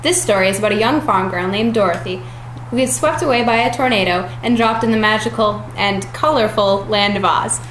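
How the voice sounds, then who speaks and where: A woman's voice: medium pitch, a little fast, fairly bright tone. A woman reading a scripted segment as a reporter in a mock 1930s newscast. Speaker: news reporter. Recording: echoey room, faint noise.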